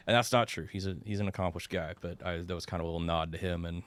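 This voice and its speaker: A man in his thirties from the Dallas suburbs with a low voice, a singer-songwriter guest chatting easily and poking fun at himself. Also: fairly even intonation, fast talker, dry recording. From suburbs of Dallas, Texas. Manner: easygoing, self-deprecating, many fillers. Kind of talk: casual conversation.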